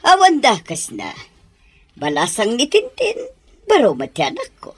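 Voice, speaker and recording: A husky middle-aged Ilocano mother in a radio comedy drama, ailing and wheedling, always matchmaking. Her pitch swings widely and the sound is dry and close. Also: wildly swooping pitch, normal speed, no room sound, exaggerated voice. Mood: teasing, scheming.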